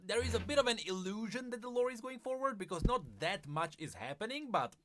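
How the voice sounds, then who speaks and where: Bright, animated man doing video commentary. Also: high voice, brisk, soft background music. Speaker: video game lore commentator. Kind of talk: semi-scripted video commentary.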